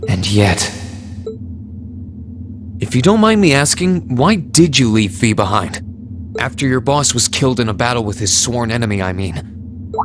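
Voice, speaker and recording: A man's voice: mid-range voice, animated, a little slow, long pauses, slight background noise, lightly acted. An actor playing a polite, loyal and resolute young male student in a video game, speaking sincerely. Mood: polite, curious. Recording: music behind the voice.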